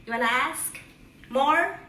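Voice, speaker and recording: A woman's voice: sits high, slow pace, sharp tone, slight background noise. A middle-aged woman cheerfully demonstrating a home recipe in a casual, joking way, recorded from across her kitchen. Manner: playful, jokey, cheery "ta-da" exclamations. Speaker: home cook vlogger. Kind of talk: casual cooking demo. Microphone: far camera. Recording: roomy kitchen echo, distant mic, faint kitchen clatter.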